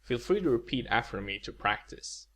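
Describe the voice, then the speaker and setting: A man teaching in a scripted video lecture, explaining clearly and saying example words for listeners to repeat. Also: medium pitch, big pitch swings, normal speed, a bit bright. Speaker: language teacher.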